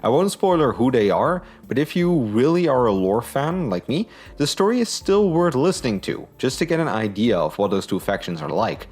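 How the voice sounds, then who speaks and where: A man's voice: medium pitch, big pitch swings, moderate pace, few pauses, a little mellow, husky. A man giving a scripted review in an informal, opinionated way. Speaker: book and audio drama reviewer.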